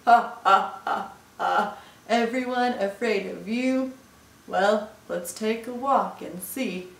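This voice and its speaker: Storybook monster, acted by a woman: hungry, lumbering, menacing yet gullible, unhurried, recorded at a distance. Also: medium pitch, vocal fry, reverberant. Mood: menacing, mocking.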